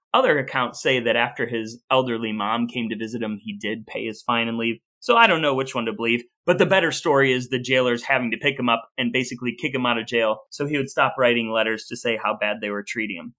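Bright-voiced American man telling stories. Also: mid-range voice. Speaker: podcast co-host. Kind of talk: casual podcast storytelling and chat.

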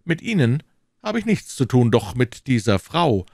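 A man's voice: mid-range voice, sing-song, unhurried, gravelly, a little mellow, no echo, clean studio sound, voice pushed up, full character voice. A man voicing a hot-headed, earnest young swordsman in an audiobook, stammering and gallant. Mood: defiant. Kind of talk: audiobook character acting.

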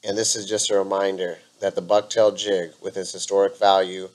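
A man calmly narrating his fishing video in a voice-over, explaining with frequent ums. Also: deep voice, fairly quick, rough recording. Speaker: angler and channel host.

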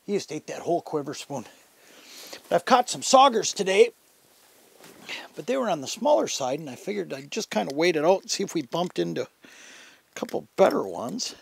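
A man's voice: on the high side, sing-song, a little fast, creaky, long pauses, slightly rough. A middle-aged man giving casual, instructional fishing commentary in a folksy, enthusiastic, conversational way. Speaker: fishing show host. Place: inside a fabric ice-fishing shelter.

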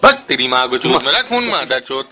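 A man's voice: mid-range voice, big pitch swings, a little fast, sharp tone. A man in a casual phone conversation, speaking as a shop worker in a colloquial, slangy, argumentative manner. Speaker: pharmacy worker taking a phone order.

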